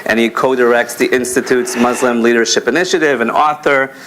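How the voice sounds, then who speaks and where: A man's voice: medium pitch, fairly even intonation, a little fast, a bit bright, a little hiss or hum. A man from Israel in his thirties moderating a panel informally, with a hesitant, joking way of introducing speakers. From Israel, born in America. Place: conference panel before an audience.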